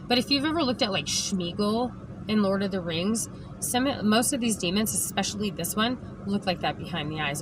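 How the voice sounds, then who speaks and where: A woman vlogger with a hoarse voice, talking emphatically straight to the camera and often tagging lines with "okay?". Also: lowish voice. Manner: emphatic, keeps adding "okay?". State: hoarse, lost voice. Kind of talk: direct-to-camera rant. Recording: background noise.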